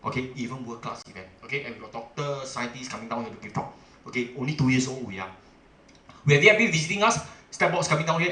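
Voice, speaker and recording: A man's voice: mid-range voice, bright, forceful tone, reverberant, rough recording. A middle-aged man from Singapore with a Singaporean English accent, giving a seminar talk as a chamber president, emphatic and full of "okay" and "ah" fillers, often asking the audience questions.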